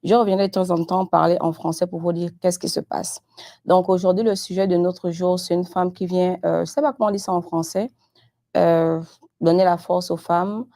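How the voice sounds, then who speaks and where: A French-speaking woman hosting a live-streamed interview, talking in a halting, unhurried way and moving between English and French. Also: fairly low pitch, fairly even intonation, dark tone. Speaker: host of a live show.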